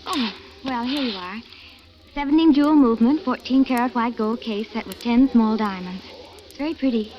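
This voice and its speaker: A woman's voice: a little high, moderate pace, natural voice. A woman playing a coy young shop clerk. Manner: coy, wistful banter. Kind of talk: 1940s radio drama acting. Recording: dull old radio broadcast with faint hiss.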